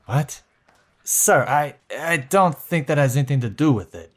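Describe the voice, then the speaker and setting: An actor playing a subdued, principled police subordinate in an audio drama, hesitant and deferential. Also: male voice, a little high, animated, moderate pace. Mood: doubtful.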